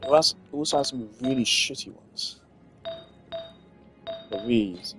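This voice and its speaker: A man chats casually as he plays, thinking aloud in a rambling way. His voice sits at an average pitch, with faint music playing underneath. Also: slow pace. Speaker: gamer. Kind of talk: casual gameplay commentary.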